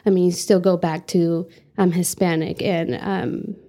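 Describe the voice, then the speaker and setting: A woman's voice: on the low side, flat delivery, a little slow, mellow. A young woman from the United States answering interview questions in an earnest, reflective way, with many fillers. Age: young adult. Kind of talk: oral history interview.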